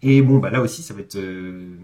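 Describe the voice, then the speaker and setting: A man's voice: low-pitched, normal speed, mellow. A man giving a casual, explanatory speedrun commentary, hesitating and correcting himself with informal asides. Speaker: video game commentator, host. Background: background music.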